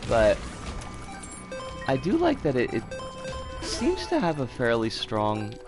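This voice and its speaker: A man with a scratchy, hoarse voice from an oncoming cold, chatting casually and opinionatedly with some swearing as he streams a game. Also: medium pitch, wildly swooping pitch, slow, over music. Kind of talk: casual live game commentary and chat.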